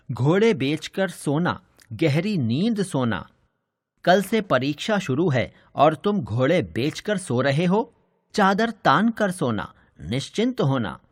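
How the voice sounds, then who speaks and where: A man narrates a children's lesson like a teacher, reading from a script. His voice is slightly high, with lively intonation and a slow, measured pace. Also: no echo, clean studio sound.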